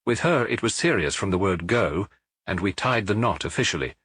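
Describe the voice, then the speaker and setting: Man playing a merry, husky ex-pickpocket, lively and chatty. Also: fairly low pitch, brisk, no room sound, clean studio sound, full character voice. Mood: proud, chatty. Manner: jovial, garrulous, familiar. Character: merry, chatty ex-pickpocket, a reformed petty crook past his youth.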